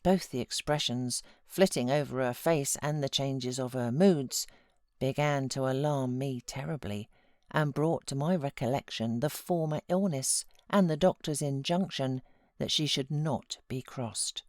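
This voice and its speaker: British lady audiobook narrator, low pitched, really dry close sound, reading literary fiction. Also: a little slow, a little mellow, no room sound. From Britain. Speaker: audiobook narrator, voiceover artist.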